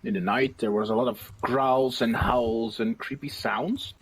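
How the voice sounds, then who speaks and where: A man improvises in character as a player in a tabletop game. His voice has an ordinary male pitch. He speaks deliberately and thoughtfully, working out his ideas as he talks. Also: fairly even intonation, breathy edge, rough recording.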